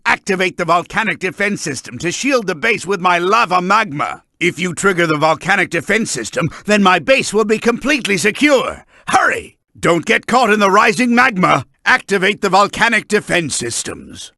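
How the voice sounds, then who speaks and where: A man voicing a pompous, boastful mad scientist villain in a video game, with a gravelly, forceful voice and theatrical, commanding delivery. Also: high voice, animated, normal speed, hardly any pauses, heavily acted. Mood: commanding, proud. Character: boastful, scheming middle-aged male mad scientist villain, bombastic.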